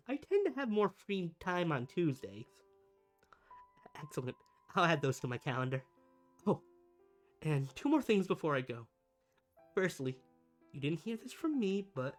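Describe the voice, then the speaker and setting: Supervillain, male actor, theatrical but earnest and needy. Very animated intonation, ASMR roleplay monologue over background music. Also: sits high. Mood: relieved, flustered, then sly, playful. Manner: dramatic, earnest, halting. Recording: music behind the voice.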